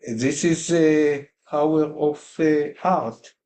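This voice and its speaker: This man talks slowly and deliberately, his first language Hebrew showing in his phrasing. His tone is mellow and darkish, and he hesitates often with fillers as he shares a personal reflection in a class. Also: a little high. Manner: hesitant, many pauses and fillers, non-native phrasing. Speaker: class participant.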